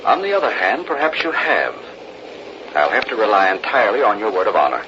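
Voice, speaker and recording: An actor playing a confident, determined investigator in an American radio drama, speaking formally and deliberately with an American accent on a dull, noisy old recording. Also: male voice, fairly low pitch, big pitch swings. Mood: firm bargaining. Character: determined, confident one-man grand jury investigator, father.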